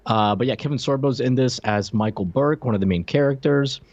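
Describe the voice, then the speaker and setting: A man co-hosting a podcast, talking casually about old television in a halting, conversational way. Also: medium pitch, darkish tone, dry recording, lo-fi sound. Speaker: podcast co-host.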